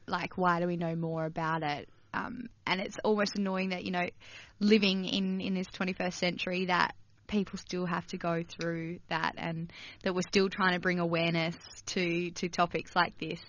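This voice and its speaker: A woman hosting a radio health program, a little hoarse from losing her voice, chatting with her guest in a friendly way. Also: fairly low pitch, fairly bright tone, no echo, lo-fi sound. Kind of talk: radio interview.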